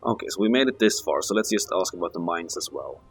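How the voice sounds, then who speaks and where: A man's voice: sits low, animated, fast talker, soft background music. A man chatting casually during a co-op game playthrough, musing aloud in a tentative way. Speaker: gaming video player.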